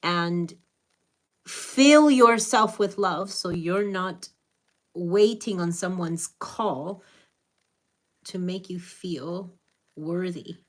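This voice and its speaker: A woman's voice: on the low side, drawn-out words, long pauses. A woman with a Spanish accent, Spanish her first language, giving blunt, direct, motherly advice to viewers on a live stream.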